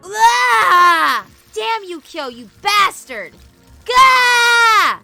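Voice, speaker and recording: A woman's voice: extremely high pitch, expressive, extremely slow, vocal fry, pitched above the performer's own voice, heavily acted. A woman voicing a short-tempered, gloating tyrant spirit girl in a boastful, taunting visual novel performance. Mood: enraged. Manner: boastful, taunting, shouting with a chanted cackle. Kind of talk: dramatic visual novel voice acting. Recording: music behind the voice.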